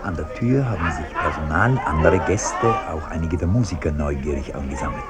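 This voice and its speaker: A man's voice: rumbling low, lively intonation, a little fast, a loud crowd. A man narrating the stage directions of a German radio play in a scripted delivery. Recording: loud hiss and clicks, old radio recording.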